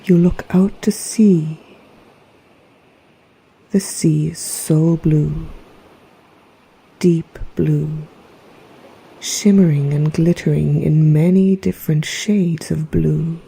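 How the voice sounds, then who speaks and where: A woman narrating a guided meditation in a soft, breathy, half-whispered voice with a gentle, soothing, hypnotic manner. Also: low voice, steady tone, slow pace, long pauses, rough recording. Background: relaxation music.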